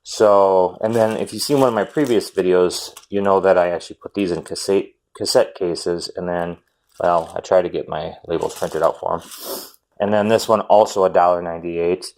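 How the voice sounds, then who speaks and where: A man, chatting casually and rambling about a game haul with lots of ums and self-corrections; he says his voice runs fairly high. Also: steady tone, moderate pace, darkish tone. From Wisconsin, US. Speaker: retro game collector vlogger.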